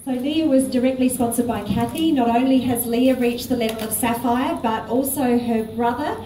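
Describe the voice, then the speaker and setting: A woman hosting a meeting and introducing speakers in a brisk, matter-of-fact way. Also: a little high, mellow. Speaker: meeting host. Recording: echoey room, distant mic, noisy.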